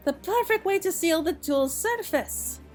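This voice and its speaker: A woman's voice: very high-pitched, expressive, measured pace, voice pushed up. A woman acts out a proud old master craftsman from a fantasy video game. The mentor talks in a folksy, rustic dialect, with faint music playing behind. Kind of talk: video game dialogue read aloud.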